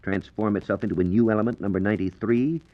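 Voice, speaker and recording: A man's voice: on the low side, steady tone, quick pace. A man with an American accent giving a formal, explanatory scripted science lecture as a 1940s radio reporter. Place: radio studio. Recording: dull, lo-fi 1940s radio recording.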